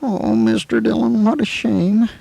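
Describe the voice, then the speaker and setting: A man's voice: high-pitched, level tone, unhurried, gravelly, warm tone, breathy edge, no room sound, voice pushed up, exaggerated voice. A man voicing an anxious, simple-hearted frontier deputy in a western radio play, fretful and folksy, repeating himself. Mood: sorrowful, fretful.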